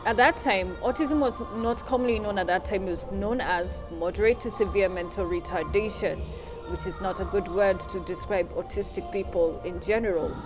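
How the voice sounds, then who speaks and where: Woman, interview guest, measured and earnest, clear music underneath. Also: mid-range voice. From Kenya, Nairobi.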